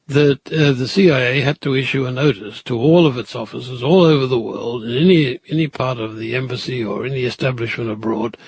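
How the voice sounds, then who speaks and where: An older man with a gravelly, husky voice, speaking as an expert interviewee in a hesitant, anecdotal way. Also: fairly high pitch. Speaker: intelligence expert. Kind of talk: interview recollection for a documentary.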